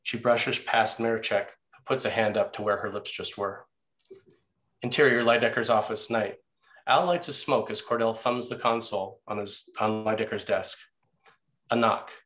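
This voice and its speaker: A man's voice: on the low side, fairly even intonation, normal speed, fairly bright tone. A man narrating the scene directions of a screenplay at a table read. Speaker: narrator reading stage directions.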